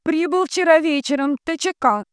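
A woman voicing a hysterical, heavy female psychic medium who wails, bellows and grumbles in drawn-out anguished calls. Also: high-pitched, no echo, clean studio sound, voice pushed up, natural voice. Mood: strained, insistent.

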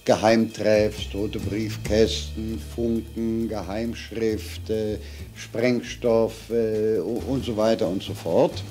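An actor playing a retired spy calmly reminiscing, with hesitations, in an interview within a radio docudrama. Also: male voice, fairly low pitch, slow pace, soft background music. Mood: reflective, matter-of-fact.

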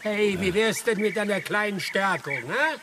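An actor playing a calm, wily old village man in a German radio drama, reassuring and folksy. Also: high voice, normal speed, noisy background. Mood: calm, knowing. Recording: music behind the voice. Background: music.

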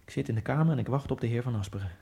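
Actor voicing an immigrant man, gravelly, mellow, hushed, ironic, first-person radio drama. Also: medium pitch, racing, close to an everyday voice. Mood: brooding. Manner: hushed, brooding inner monologue. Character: Egyptian immigrant man, nervous and wryly ironic. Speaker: narrator.

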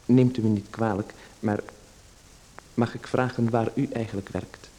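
An actor playing a nervous, overly polite playwright in a radio play, apologetic and stammering. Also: male voice, lowish voice, steady tone, normal speed, mellow, a little hiss or hum, natural voice, low-quality recording.

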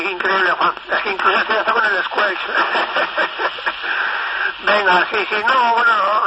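High-voiced man on noisy CB radio. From northern Spain. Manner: enthusiastic, repetitive, rambling. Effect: FM CB radio transmission. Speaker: amateur CB radio operator. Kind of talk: casual radio contact chat. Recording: noisy, dull CB radio transmission. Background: loud radio static and noise.

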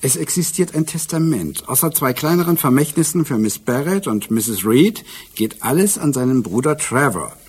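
Police inspector, radio drama: mid-pitched, slightly rough voice, businesslike, methodical, dryly teasing. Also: male voice, animated, moderate pace, darkish tone. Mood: methodical, wry. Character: brisk, wry male police inspector in Britain. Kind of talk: German radio drama acting.

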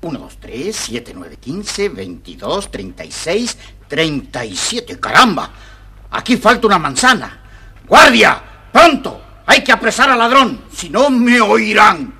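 An actor playing a proud, greedy, bossy old king in a theatrical children's storybook recording, with a raspy, gravelly voice. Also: sits high, big pitch swings, a little slow, pushed and bright, full character voice. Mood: counting carefully, then outraged. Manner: theatrical, blustering.

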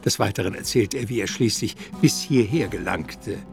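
A man narrating a radio drama in the present tense, with a lively storytelling delivery. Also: lowish voice, moderate pace, vocal fry, faint music behind.

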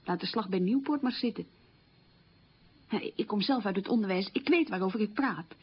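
An actress playing an opinionated, weary middle-aged schoolteacher who confides frankly in a conversational radio monologue. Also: female voice, mid-range voice, normal speed, breathy edge, highs cut off, close to an everyday voice. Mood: indignant. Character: opinionated, weary schoolteacher mother marked by the war.

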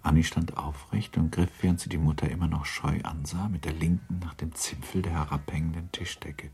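A grave, composed storyteller narrating a literary radio drama. His voice is extremely low with a gravelly creak, and his delivery is sober and measured. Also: little pitch movement, a little mellow, exaggerated voice. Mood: grave, restrained.

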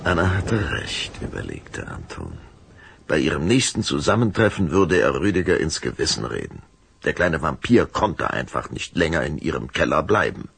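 A man's voice: rumbling low, very animated, normal speed, vocal fry, a bit bright, husky, dry recording, exaggerated voice. A man narrating a children's vampire audio drama as a dramatic, suspenseful storyteller. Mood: calm, storytelling.

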